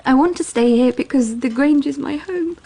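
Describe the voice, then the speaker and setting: An actress playing an anguished, defiant young wife in a radio drama, with a bitter, intense manner. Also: female voice, high voice, moderate pace, mellow. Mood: bitter, grieving. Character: anguished, weary, defiant young wife fleeing a violent husband.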